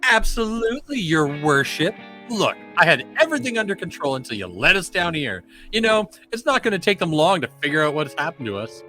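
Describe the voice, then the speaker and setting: A man's voice: fairly high pitch, very animated, a little fast, sharp tone, soft background music. A man playing a brash, sardonic smuggler hero in a comedic table read of a space adventure script. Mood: sarcastic. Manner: sardonic, blustery.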